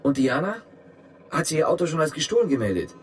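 A man's voice: a little high, big pitch swings, fast talker. Terse, guarded policeman on the run. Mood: controlled, casual. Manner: terse, understated. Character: guarded, brooding East German policeman on the run. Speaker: actor in a radio drama. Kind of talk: naturalistic German radio drama dialogue. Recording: noisy, car and traffic sounds. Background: vehicle ambience.

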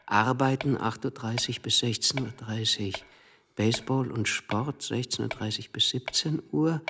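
An earnest, courteous young man in a radio drama, played by a male actor. His voice is medium in pitch, loyal and persistent, with faint noise behind it. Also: natural voice. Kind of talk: radio play dialogue.

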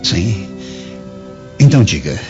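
A stern, suspicious Roman nobleman in a Brazilian radio drama. His voice is on the low side and swings widely in an imperious, theatrical way. Music plays clearly behind him on a dull recording. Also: very animated, takes it slowly, mellow, full character voice. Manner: theatrical, imperious, weighty delivery. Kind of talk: radio drama acting in Portuguese.

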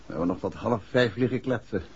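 A man's voice: lowish voice, fast, slightly rough. An actor playing a composed, courteous male detective in a 1960s radio drama. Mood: easygoing. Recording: muffled hissy vintage radio recording.

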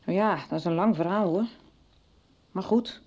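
A woman's voice: fairly low pitch, moderate pace, a little mellow. A woman playing a hesitant, anxious middle-aged mother in a radio soap. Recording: dull 1990s radio recording.

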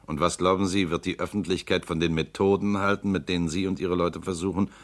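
An actor playing a composed, precise lawyer and political candidate in a radio drama, speaking calmly and politely. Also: male voice, low voice, brisk, close to an everyday voice. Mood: cool. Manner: calm, precise, coolly polite. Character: suave composed male lawyer-politician suspected robot. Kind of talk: 1960s-70s radio drama acting.

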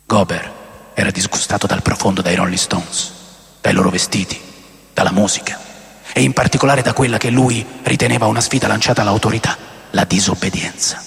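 An actor playing an aging, rebellious rock musician who recounts his wild past in a deliberate, theatrical radio drama narration with a gravelly voice. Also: lowish voice, fairly bright tone. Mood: scornful, measured. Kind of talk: dramatic first-person memoir narration. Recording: long reverb over music.

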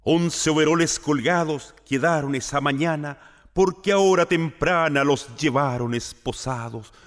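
A man's voice: on the high side, unhurried. A man giving a solemn commemorative speech with recited verse. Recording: long hall reverb.

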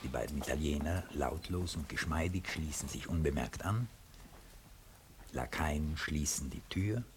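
A man calmly reading the stage directions of a radio play. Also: very deep voice, unhurried, noisy background.

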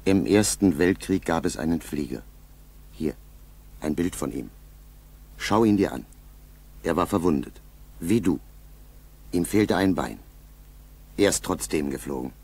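A steadfast military commissar in an old radio drama. The voice is deep, creaky and husky, pressing a point firmly and encouragingly. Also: male voice, expressive, unhurried, pauses often, a little mellow, heavily acted. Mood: firm encouragement. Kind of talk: 1960s German radio play acting.

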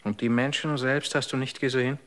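A radio-drama figure: a devoted companion who fears for his friend, played by an actor. His voice sits at an ordinary middle pitch. He speaks gently and earnestly, often dropping to a hush. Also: level tone, a little fast, close to an everyday voice. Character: devoted, worried close companion.

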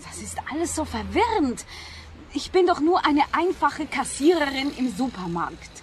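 A woman's voice: sits high, big pitch swings, normal speed, a little hiss or hum. A woman playing a naive, bewildered young supermarket cashier in a radio play, plaintive and hesitant. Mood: worried, reluctant. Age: young adult.

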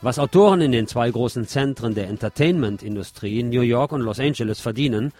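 A man's voice: mid-range voice, brisk, a little mellow, soft background music. A man presenting a radio magazine as host, reading scripted narration with personal asides.

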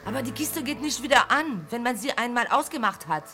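An actress plays an indignant young female guerrilla in a radio play. Her fairly high voice is urgent and scolding, with music clearly behind it. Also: lively intonation, fairly quick, natural voice. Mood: urgent, nagging. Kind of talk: German radio play acting.

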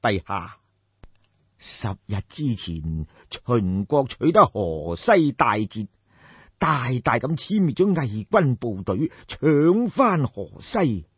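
A man voicing a grave, shrewd old royal minister in an audiobook, giving formal, deferential counsel in measured, deliberate phrases. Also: medium pitch, big pitch swings, husky, no room sound, lo-fi sound, full character voice.

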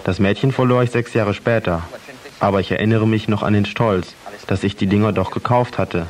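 A man in his thirties speaks in a fairly low voice. He delivers a personal, confessional monologue from a script. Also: a little fast. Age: 30s.

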